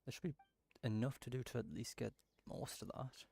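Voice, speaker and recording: A man's voice: mid-range voice, fairly even intonation, fairly quick, gravelly, warm tone, slightly rough. A man streaming a game, rambling in casual commentary with muttered asides.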